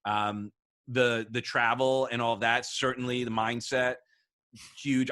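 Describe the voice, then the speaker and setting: A man hosting an interview show, telling a story in a relaxed, thoughtful way. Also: mid-range voice. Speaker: show host.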